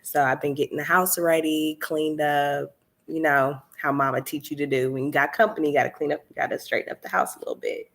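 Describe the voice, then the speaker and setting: Woman, 30s, low-pitched, chatty coach, slightly congested, easygoing live-stream chat. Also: fairly even intonation, fairly quick, fairly bright tone. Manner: chatty, many fillers, laughs often. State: slightly stuffy nose. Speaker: candle business coach hosting a live stream.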